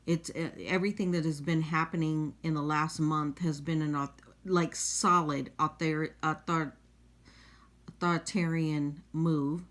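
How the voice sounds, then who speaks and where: A woman vlogger giving unscripted political commentary in a halting, emphatic way. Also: deep voice, steady tone, fairly bright tone.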